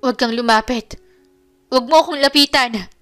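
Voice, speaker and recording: A woman's voice: high-pitched, normal speed, fairly bright tone, soft background music, pitched above the performer's own voice. A woman narrator playing a jilted young woman who hurls tearful, wounded accusations in a melodramatic romance story, furious and heartbroken. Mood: hurt, rejecting.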